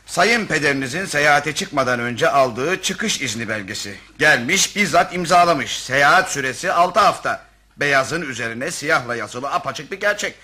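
An actor playing an officious, evasive police commissioner in a satirical radio play, pompous and pedantic in bureaucratic officialese. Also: male voice, on the high side, expressive, moderate pace, sharp tone, heavily acted. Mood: condescending, officious. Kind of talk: radio theatre acting, satirical comedy.

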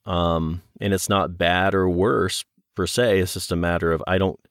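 Low-voiced man, thoughtful and hesitant. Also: steady tone, normal speed, smooth, dry recording, studio quality. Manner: hesitant, thoughtful, careful with words. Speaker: podcast guest. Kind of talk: casual reflective discussion.

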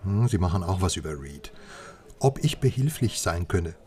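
An actor playing a wry, knowing journalist informant in a radio drama, confiding in clipped conversational phrases. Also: male voice, low voice, sing-song, moderate pace, a little mellow, breathy edge, exaggerated voice. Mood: wry, amused.

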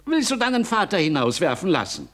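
An actor playing a genteel, teasing elderly father in a radio play, with a husky voice and a courtly, ironic manner. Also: high voice, wildly swooping pitch, a little fast, fairly bright tone, full character voice. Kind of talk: German-language radio play acting.

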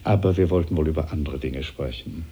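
A man acting in a German radio play as a suave, cynical, scheming French statesman, urbane, ironic and unhurried, with a husky voice on a muffled recording. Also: extremely low pitch, warm tone.

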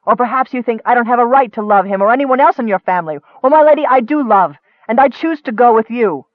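A man voicing an earnest, hot-tempered fantasy cleric, wary and forceful, on a muffled audiobook recording. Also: sits high, expressive, fairly quick, no echo. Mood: angry.

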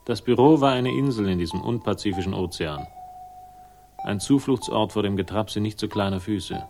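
Deep-voiced sardonic male detective played by an actor. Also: normal speed, over music, close to an everyday voice. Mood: wry narration. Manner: ironic, clipped, teasing. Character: wry, sardonic male private investigator, world-weary narrator. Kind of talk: 1960s German radio crime drama, dialogue and narration.

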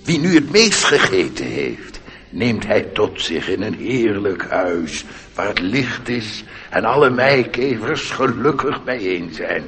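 An actor voicing a grumpy, self-important old cockchafer beetle with a pompous, scolding manner in a radio drama. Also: male voice, on the low side, big pitch swings, slow pace, long reverb tail, background noise.

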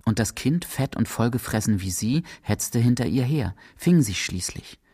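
A man's voice: mid-range voice, animated, normal speed, dark tone, slightly rough. A man reading scripted literary narration as the narrator of a radio drama.

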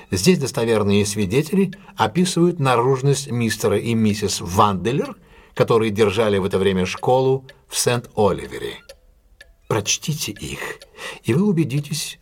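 Composed, low-voiced detective. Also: male voice, sing-song, slightly rough, full character voice. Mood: assured, explanatory. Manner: measured, assured, formally polite, deliberate. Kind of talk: audio drama acting, classic detective story.

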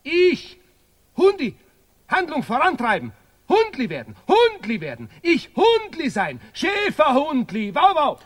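Radio-play creature: small obstinate talking dog, very high, childish, woofing, echoing in a big hall. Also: male voice, wildly swooping pitch, measured pace, full character voice. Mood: stubborn, excited. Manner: childish broken speech with barked woof-woofs. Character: stubborn, yapping little shepherd dog, man turned doggy. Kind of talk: experimental radio play acting.

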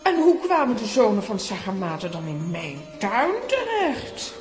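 An actress playing a curious, plain-spoken comic-strip adventurer in an animated, inquisitive way for a children's audio drama. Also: female voice, medium pitch, unhurried. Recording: roomy echo with music behind.

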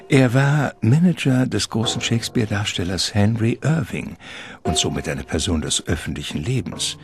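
An actor playing a solemn, ominous narrator of a gothic radio drama, measured and literary in delivery. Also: male voice, low voice.

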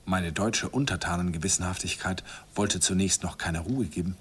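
A wistful, low-voiced narrator. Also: male voice, moderate pace, husky. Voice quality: hushed and reflective. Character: earnest young German man recalling first love. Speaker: narrator and young German student Andi. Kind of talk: 1950s German radio drama narration. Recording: faint hiss of an old 1951 radio recording.

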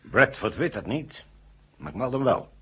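An actor playing a sober, matter-of-fact astronaut explaining himself in a 1970 radio drama. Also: male voice, mid-range voice, very animated, moderate pace, pushed and bright, slightly rough, full character voice. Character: sober male astronaut confessing a secret plot. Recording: dull, muffled old radio recording.

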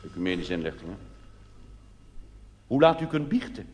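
A man playing an obliging, fussy church helpline clerk in a cabaret sketch, officious and reassuring, pausing as if listening. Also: deep voice, big pitch swings, pitched below the performer's own voice. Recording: hissy old live theatre recording, long reverb.

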